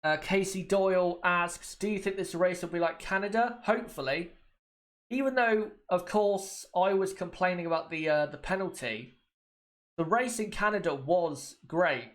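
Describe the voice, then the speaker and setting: A man's voice: sits high, normal speed, fairly bright tone. Man with a cold, chatting. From United Kingdom. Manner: chatty, repeats points, addresses viewers directly. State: has a cold. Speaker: livestream host. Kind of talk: casual watchalong commentary.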